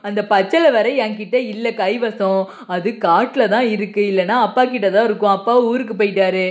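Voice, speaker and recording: A woman voicing a worried young village man, a healer's son, in a cartoon, speaking in a lowered, calm explaining tone. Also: a little fast, close to an everyday voice. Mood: concerned. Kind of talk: cartoon voice acting.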